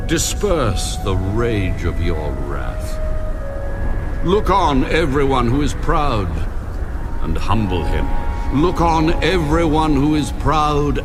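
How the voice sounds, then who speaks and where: Slow, solemn male God over music. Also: mid-range voice. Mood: stern, challenging. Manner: solemn, stately, rhetorical questioning. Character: God, commanding ancient deity challenging a mortal. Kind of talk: dramatised scripture reading.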